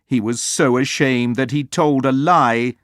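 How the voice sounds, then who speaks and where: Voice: medium pitch, measured pace, dry recording, studio quality. A storyteller reading a children's story aloud as scripted narration.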